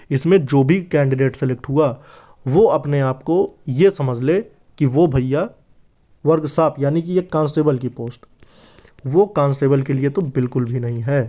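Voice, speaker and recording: A man with a slightly high voice speaks as an online presenter. He explains things informally, talking straight to viewers in a familiar, brotherly way and often checking that they follow. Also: warm tone. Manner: familiar, brotherly direct address with repeated check-in tags. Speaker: YouTube presenter of exam updates.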